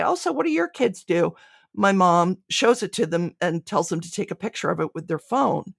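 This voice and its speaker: A woman's voice: on the low side, creaky, no echo, clean studio sound. A woman speaking conversationally as a podcast guest, an audio drama creator explaining things in interview answers.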